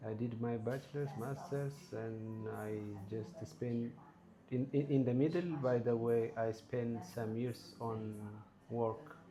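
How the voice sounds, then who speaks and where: Male guest, unhurried and earnest, murmuring crowd in the background. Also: medium pitch, fairly even intonation, poor audio. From Ethiopia. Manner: slow, measured, earnest. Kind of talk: reflective interview answers.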